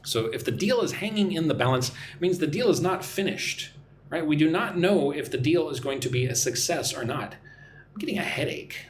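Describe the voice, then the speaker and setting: A man's voice: fairly high pitch, expressive, normal speed, a little hiss or hum. A young man from Texas hosting a live English lesson, reading out viewers' comments and answering them in a chatty, teasing way. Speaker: English teacher and livestream host. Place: home room in Vietnam.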